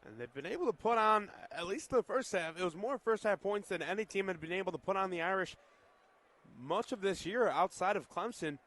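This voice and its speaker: An American man with a slightly high voice serves as color commentator on a football radio broadcast. He offers analysis of the game between plays. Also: lively intonation, fairly quick, a bit bright.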